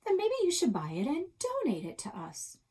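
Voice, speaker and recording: A woman's voice: high voice, huge pitch swings, normal speed, mellow, voice pushed up. A woman voicing a cheeky, sarcastic young girl in a children's storybook. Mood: defiant. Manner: sarcastic, defiant.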